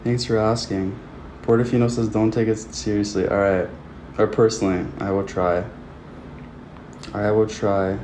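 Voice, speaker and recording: A man's voice: fairly low pitch, little pitch movement, normal speed, long pauses, a little mellow. Young man, chatty livestream talk, phone mic, small indoor room, faint hiss. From Canada. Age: young adult. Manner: chatty, self-talking, upbeat with viewers. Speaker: livestream host. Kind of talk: casual livestream chat. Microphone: phone at arm's length.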